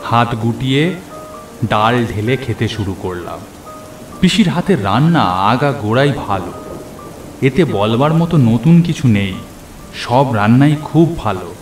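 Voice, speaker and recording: A man's voice: medium pitch, expressive, a little slow, pauses often, soft background music, natural voice. A man playing a young nephew who narrates a horror cartoon story in a dramatic way. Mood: easy, affectionate. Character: young nephew narrating his night of terror. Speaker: narrator and character. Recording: roomy echo, faint rain and thunder.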